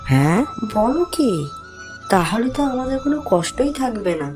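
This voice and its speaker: A woman's voice: a little high, big pitch swings, moderate pace. Woman playing a nagging village wife. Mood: fussing. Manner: scolding, fussy, exclaiming. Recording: music behind the voice.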